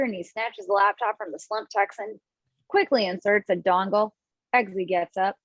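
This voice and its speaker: A woman's voice: mid-range voice, no echo. A woman reading the stage directions at a video-call table read, now and then laughing at her own slips. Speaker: script reader. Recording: dull lo-fi home mic.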